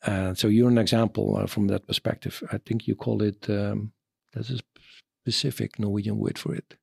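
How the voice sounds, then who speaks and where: A Dutch man in his fifties, an army officer answering interview questions in English with a Dutch accent, pausing often to search for words. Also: fairly low pitch, little pitch movement, creaky, a little mellow, breathy edge, dry recording, clean studio sound. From Netherlands. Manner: halting, searching for words, many fillers.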